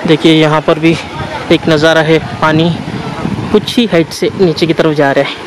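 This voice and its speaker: A man, casually narrating a travel vlog as he walks, pointing things out to viewers in an unhurried way. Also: fairly high pitch, fairly even intonation. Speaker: travel vlogger. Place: outdoors on a forested trail by a stream and waterfall. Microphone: handheld camera at arm's length. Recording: noisy outdoors with rushing water.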